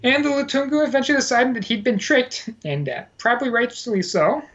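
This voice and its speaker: A man's voice: very high-pitched, very animated, fairly quick, fairly bright tone. Man recounting at length, dull audio. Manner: rambling, frequent fillers and self-corrections. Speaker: guest, former Peace Corps volunteer. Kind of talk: casual podcast conversation. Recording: dull lo-fi sound.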